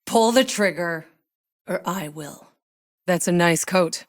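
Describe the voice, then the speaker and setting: Casting: hardened female game soldier, lowish voice, unhurried, wry and gritty, dramatic sci-fi delivery. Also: in a large hall. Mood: grim, guarded. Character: tough, sardonic female sci-fi outrider soldier. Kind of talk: video game voice lines, dramatic acting.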